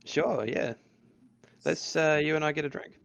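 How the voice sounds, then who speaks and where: A man's voice: fairly high pitch, moderate pace, creaky, a bit bright. A man reading the part of an awkward young man in an amateur podcast table read, earnest and rambling, on a dull lo-fi mic.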